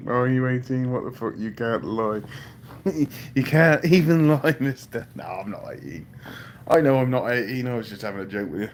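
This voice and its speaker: A man's voice: mid-range voice, lively intonation, normal speed, a little hiss or hum. A British man in his forties hosting a livestream, casually chatting and joking as he reads viewer comments aloud and answers them. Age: 40s. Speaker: livestream host. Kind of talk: casual live chat reading viewer comments.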